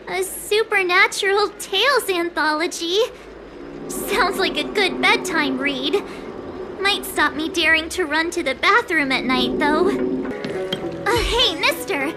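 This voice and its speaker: A very high, bubbly teenage girl detective from a video game. She is cocky, dramatic and pouty, taking her time over words, with loud music behind her. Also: lively intonation, a little slow. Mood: perky, curious. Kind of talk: video game character dialogue.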